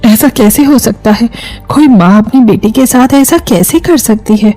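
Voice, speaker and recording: Woman acting as middle-aged mother-in-law, protective, firm, mellow tone, story drama, faint music. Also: a little high, a little slow. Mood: indignant. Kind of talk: Hindi moral story dramatization.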